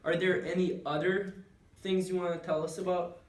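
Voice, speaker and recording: A man's voice: high-pitched, level tone, normal speed. A man acting as interviewer, asking conversational questions and following up on the answers. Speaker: interviewer, channel host. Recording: roomy echo, distant microphone.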